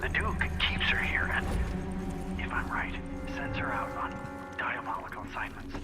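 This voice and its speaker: A man acts a brooding, educated video game character in a measured, dramatic monologue. The mid-pitched voice sits a little away from the mic, with loud music over it. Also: expressive, in a whisper, lightly acted. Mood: grave revelation. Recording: distant voice under loud music.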